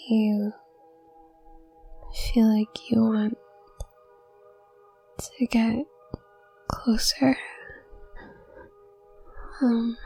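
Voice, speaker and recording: Woman acting a shy, stuttering young witch, soft and slow. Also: fairly high pitch, creaky, slightly rough, heavily acted. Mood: nervous, hesitant. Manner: heavy nervous stutter, hesitant, halting. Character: shy, anxious, apologetic young witch student. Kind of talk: intimate audio roleplay acting.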